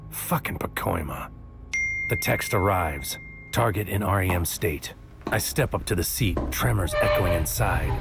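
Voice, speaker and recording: An actor playing a bitter, world-weary operative who narrates his thoughts in clipped, poetic lines for an audio drama. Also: low voice. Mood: clipped, tense.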